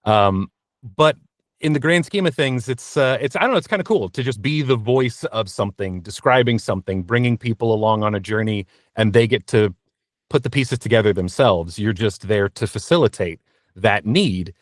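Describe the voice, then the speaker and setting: A man giving casual, conversational interview answers as a voice actor guest, often halting and correcting himself as he tells his story. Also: lowish voice, no room sound, studio quality.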